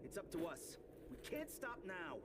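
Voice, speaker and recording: An actor voicing a hot-headed, wisecracking ninja turtle with a blunt, sarcastic manner. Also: male voice, sits high, very animated, moderate pace, background noise. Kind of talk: video game cutscene acting.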